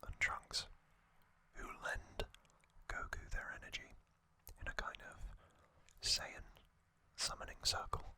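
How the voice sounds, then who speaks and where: An ASMR host whispering a recap in a breathy, unvoiced whisper, in short halting phrases with pauses. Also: pushed and bright. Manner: slow, halting, pausing between short phrases.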